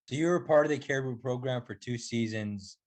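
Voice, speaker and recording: A man co-hosting a podcast, setting up a question slowly and descriptively. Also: mid-range voice, darkish tone. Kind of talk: casual interview.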